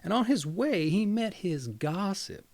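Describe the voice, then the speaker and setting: Male fairy-tale narrator. Higher-set voice, swinging intonation, creaky edge. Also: lively intonation, measured pace, darkish tone. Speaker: storyteller. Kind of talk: fairy tale read aloud, animated.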